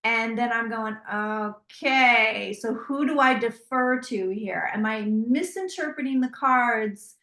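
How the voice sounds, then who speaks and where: A woman with a fairly high voice tells stories in an animated, chatty way, often restarting her sentences. She sounds like she is in a roomy, echoey space. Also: takes it slowly, hardly any pauses. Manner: animated storytelling with many restarts. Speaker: psychic tarot reader. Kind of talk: interview conversation.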